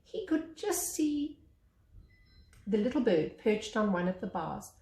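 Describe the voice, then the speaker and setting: A woman reading a children's story aloud as a teacher, with expressive narration. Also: mid-range voice, takes it slowly. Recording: echoey room.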